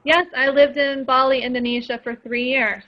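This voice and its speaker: Woman, radio interview guest, chatty, lots of fillers, slightly high, dull lo-fi sound. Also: flat delivery, normal speed.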